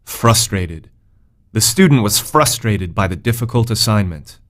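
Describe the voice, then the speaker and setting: Very slow, low-voiced man teaching words. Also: animated, fairly bright tone. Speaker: vocabulary lesson narrator. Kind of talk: scripted educational narration, word then definition.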